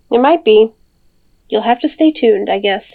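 A woman's voice: a little high, animated, takes it slowly, vocal fry, exaggerated voice. A woman acting as a friendly, teasing mom in an audio drama, talking casually through a phone call filter. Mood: curious, playful. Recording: dull, band-limited phone call sound.

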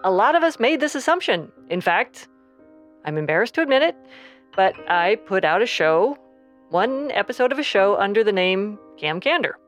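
Woman guest, sheepish, confiding, medium pitch. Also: sing-song, moderate pace, faint music behind. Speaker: interview guest, audio drama creator. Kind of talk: conversational interview.

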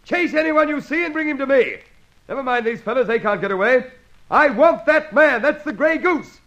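Commanding police inspector, lo-fi radio. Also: male voice, extremely high pitch, lively intonation, gravelly, sharp tone, full character voice. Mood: urgent shouting. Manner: brisk, authoritative, barking orders. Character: gruff, commanding male police inspector, exasperated by his quarry. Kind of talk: old radio serial acting. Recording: dull, lo-fi old radio recording.

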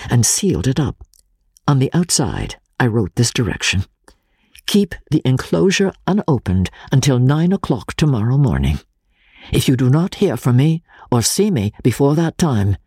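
A man narrating classic literature in the first person as a dramatic reading, in a measured, formal, deliberate manner. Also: mid-range voice, dark tone, breathy edge, dry recording, studio quality. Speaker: audiobook narrator.

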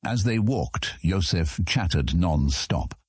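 Deep, husky male fantasy narrator. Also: big pitch swings, slow, darkish tone, dry recording, studio quality. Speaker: audiobook narrator.

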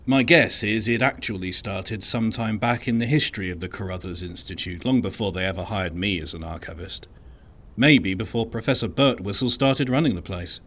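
An actor playing a reflective male archivist in an audio drama, musing and deliberate as he recounts a mystery. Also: lowish voice, fairly bright tone. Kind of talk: audio fiction monologue.

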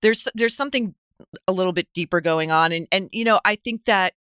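Wry woman, bright and clear. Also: medium pitch, a little fast, dry recording, clean studio sound. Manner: wry, scornful. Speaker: guest commentator. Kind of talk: opinionated conversational commentary.

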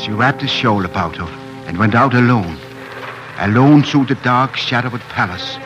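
A man's voice: mid-range voice, lively intonation, moderate pace, highs cut off. A man narrating a story theatrically and suspensefully in 1940s radio drama style. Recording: old 1940s radio recording with music.

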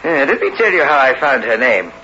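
An actor playing an excitable, blunt, emphatic investigator in a 1960s radio horror drama. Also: male voice, mid-range voice, a little fast. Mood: amazed, eager. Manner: emphatic, animated. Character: excitable, blunt male investigator of an old mystery. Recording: echoey, dull vintage radio recording, faint hiss.

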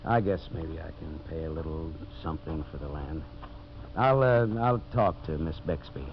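An actor playing a brash, greedy American lumber boss, pushy and wheedling, in 1950s radio drama style. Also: male voice, very deep voice, moderate pace. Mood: pleading, backing down. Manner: pushy, wheedling, blunt salesman's talk. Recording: muffled, noisy 1950s radio recording.

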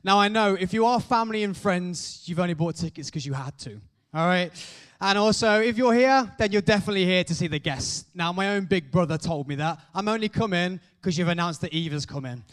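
Male host on stage, high excited welcome, joking with the audience. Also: fairly quick. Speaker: host of a live show. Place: stage in a venue.

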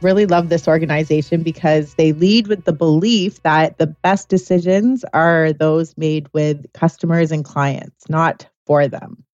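A woman co-hosting a business podcast, introducing a guest in a warm, admiring way. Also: sits low, fairly even intonation, a little slow, hardly any pauses, no echo, lo-fi sound, soft background music. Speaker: podcast co-host.

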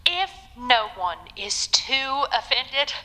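Lilting, genteel radio wife. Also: female voice, sits high, sing-song, takes it slowly, bright, forceful tone, heavily acted. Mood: sweetly coy. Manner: folksy, polite, sweetly affectionate. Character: genteel, devout, loving old-fashioned radio wife. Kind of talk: old-time radio show acting. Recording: long reverberant hall.

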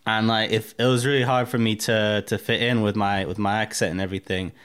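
A man's voice: lowish voice, fairly even intonation, fairly quick, fairly bright tone, clean tone. Candid man with a mixed accent. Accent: mixed Russian, American and English. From Russia. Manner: candid, profane, rambling with many fillers. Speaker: guest. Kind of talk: casual interview chat.

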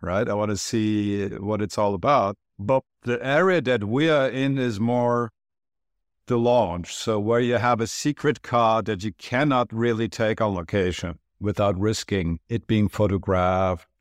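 Male guest from Turkey, low voice, dry close mic, thoughtful explainer. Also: fairly even intonation, measured pace, clear, resonant tone, no echo. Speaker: guest, studio founder. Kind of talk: interview answers.

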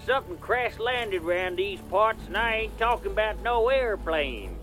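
A man's voice: high voice, animated, unhurried, fairly bright tone, faint music behind. An actor playing a panicky, folksy American townsman in a hammy theme park recording. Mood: urgent, conspiratorial.

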